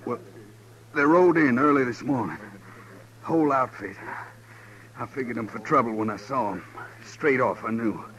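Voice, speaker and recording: An actor playing a beaten, resigned townsman who talks haltingly and plainly in a 1950s radio Western. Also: mid-range voice, lightly acted. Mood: weary, resigned. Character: beaten, weary male townsman held hostage. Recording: muffled old radio recording, steady hiss.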